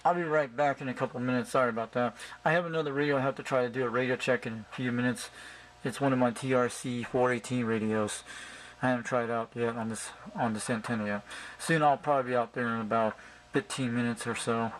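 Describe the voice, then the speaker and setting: A man's voice: mid-range voice, fast talker. A man chatting casually and repetitively as he tests his radio gear, like a hobbyist showing his setup. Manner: rambling, repetitive. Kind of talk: casual chat while testing radio gear. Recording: very noisy amateur recording, loud hum. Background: loud steady noise.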